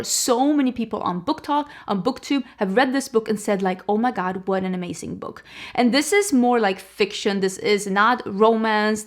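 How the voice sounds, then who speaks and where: A chatty woman from Sweden, whose first language is Swedish, talks casually about her books as a vlogger. She sounds animated and sprinkles her sentences with "like." Her voice sits at a middle pitch for a woman. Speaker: book vlogger. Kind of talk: casual chat.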